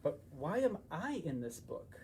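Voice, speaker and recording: A man's voice: fairly high pitch, very animated, unhurried, mellow, a little hiss or hum. A man voicing a brave but puzzled little girl in a storybook, with a questioning manner.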